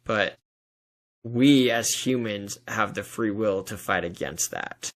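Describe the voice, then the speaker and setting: A man's voice: lowish voice, slow pace. A man hosting a podcast, casually discussing faith in an earnest, explanatory way peppered with "like". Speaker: podcast host.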